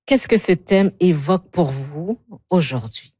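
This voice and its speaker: A woman interviewing a guest, asking gentle questions and affirming her answers. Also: fairly low pitch, expressive, a little slow, slightly rough, no room sound, studio quality.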